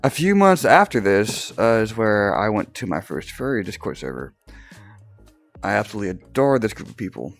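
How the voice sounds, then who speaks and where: Young male vlogger, low and groggy, rambling storyteller prone to laughs and restarts. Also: big pitch swings, moderate pace, creaky, faint music behind. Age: young adult. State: groggy, just woke up. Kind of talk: casual personal storytelling.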